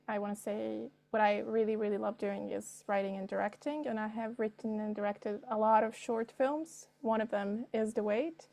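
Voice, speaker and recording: A woman's voice: medium pitch, gravelly, mellow. A woman, answering interview questions conversationally and thinking aloud as she goes. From Serbia. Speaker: filmmaker being interviewed.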